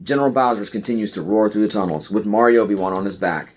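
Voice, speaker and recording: A man's voice: fairly low pitch, fast talker, a little mellow. A man reading scripted screenplay narration and scene headings for a podcast audio play.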